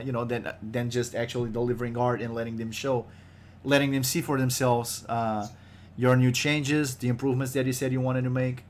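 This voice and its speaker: A man's voice: medium pitch, slight background noise. A man hosting a casual podcast livestream, joking and loose with a groggy voice. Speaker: podcast host.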